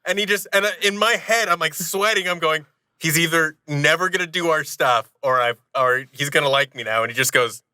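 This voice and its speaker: Bright-voiced man telling casual anecdotes. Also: a little high, a little fast, clear, resonant tone, no room sound. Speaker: podcast guest.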